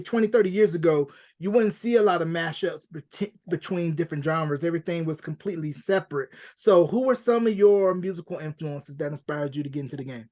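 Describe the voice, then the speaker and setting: Man, high-pitched voice, podcast host, conversational interviewer. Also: a little mellow.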